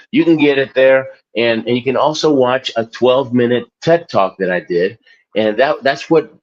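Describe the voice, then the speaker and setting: A man's voice: mid-range voice, muffled recording. A man in his forties, a guest in an interview, talking casually in a rambling, self-deprecating way and laughing often. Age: 40s. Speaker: guest, Olympic athlete and author.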